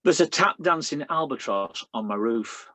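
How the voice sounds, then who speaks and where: A man's voice: fairly high pitch, lively intonation, moderate pace, fairly bright tone, no room sound. A middle-aged man with a Lancashire accent reading his poems aloud, with chatty asides and fillers between them. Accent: Lancashire English. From Lancashire, England. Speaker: poet. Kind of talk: poetry reading with spoken introductions.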